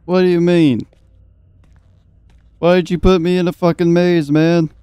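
A man's voice: high voice, level tone, measured pace, mellow, clear, resonant tone, no echo, studio quality. A young man giving casual let's play commentary, joking and swearing and at times reading game text aloud. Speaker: gaming video host. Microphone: close, dry microphone.

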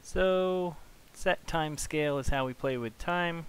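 A man hosting a casual software tutorial, talking informally and thinking aloud as he works. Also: a little high, slow. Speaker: tutorial host. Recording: clear background hiss.

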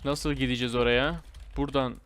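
A man giving casual, excitable gameplay commentary in informal slang, talking straight to his viewers. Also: medium pitch, a little fast, smooth. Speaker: gaming video host.

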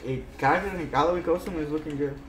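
A man's voice: fairly high pitch, rapid-fire, a little hiss or hum. A young man chatting casually as co-host of a live stream. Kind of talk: casual chat. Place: indoor room, live stream. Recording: roomy sound, music behind. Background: music.

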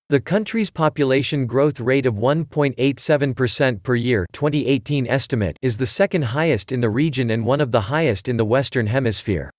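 A man narrating an educational video, reading out facts and figures in an even manner. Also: mid-range voice, no room sound, studio quality.

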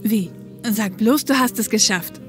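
A woman plays a young police helicopter co-pilot in an audio drama. She explains things soberly, like a caring big sister, in a mid-pitched voice with music behind her. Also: a little slow, lightly acted. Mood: casual, friendly. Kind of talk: German audio drama acting.

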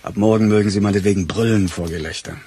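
An actor playing a weary, bitter veteran police chief in a crime radio play, dry and sardonic. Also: male voice, on the low side, steady tone, fast talker, dark tone, a little hiss or hum, natural voice.

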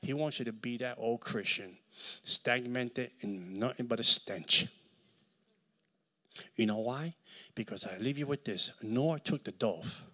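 Middle-aged man, Puerto Rican accent, fervent preaching, bright forceful tone, handheld mic, church hall. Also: mid-range voice, measured pace. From Puerto Rico. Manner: fervent, swings from shouting to near-whisper. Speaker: guest preacher. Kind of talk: sermon. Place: large church hall with live audience.

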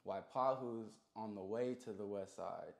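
A young man with a fairly low voice is being interviewed in a roomy, echoing studio. He talks casually, full of slang, often checking that the listener follows. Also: unhurried, creaky. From Hawaii. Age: young adult. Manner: slangy, peppered with "you know what I mean". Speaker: interview guest, musician. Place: small recording studio room.

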